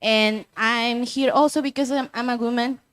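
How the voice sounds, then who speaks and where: Young woman, impassioned activist, slightly high voice, rhetorical questions, panel speech. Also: fairly even intonation, normal speed, a bit bright, dry recording. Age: young adult. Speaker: youth activist speaker. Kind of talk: panel statement.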